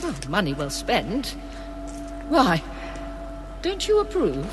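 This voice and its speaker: An actress playing a brisk, guarded gallery manager in a radio drama, clipped and formal. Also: female voice, mid-range voice, huge pitch swings, over music. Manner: clipped, formal and faintly defensive.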